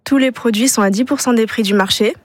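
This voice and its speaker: A woman's voice: on the high side, brisk, no echo. A young woman, a student charity vice-president, answering radio interview questions hesitantly, with frequent filler sounds.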